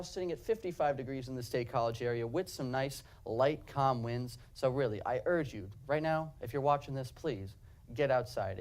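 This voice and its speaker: A man's voice: mid-range voice, a little mellow. A young man delivering a broadcast weather forecast in an upbeat presenter style, walking viewers through the map. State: slightly hoarse.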